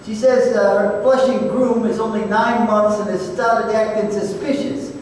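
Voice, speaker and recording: A man's voice: high-pitched, fairly even intonation, unhurried, a little mellow. Man acting a sardonic detective sidekick, very echoey. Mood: businesslike banter. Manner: sardonic, bantering. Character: sarcastic Irish male private-eye partner, needling but loyal. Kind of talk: old time radio detective drama acting. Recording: echoey hall, distant mic, faint noise.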